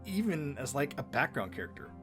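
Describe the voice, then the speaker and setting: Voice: mid-range voice, very animated, brisk, a bit bright, soft background music. A casual, enthusiastic co-host chatting in a conversational video commentary.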